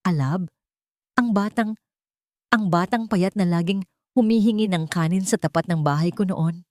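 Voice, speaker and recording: A narrator puts on an elderly grandmother, frail and affectionate. She speaks haltingly and with wonder in a dry-sounding audio drama. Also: on the low side, darkish tone, dry recording, natural voice. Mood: moved wonder.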